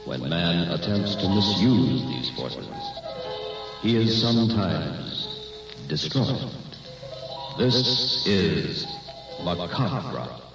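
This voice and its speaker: A looming horror narrator whose voice is deep and gravelly. He speaks slowly, and the sound rings out in a long hall echo. The old radio recording is muffled. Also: big pitch swings, far from the mic, loud music behind the voice, exaggerated voice. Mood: ominous. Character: ominous male horror show narrator. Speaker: show announcer. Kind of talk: 1960s radio drama announcing.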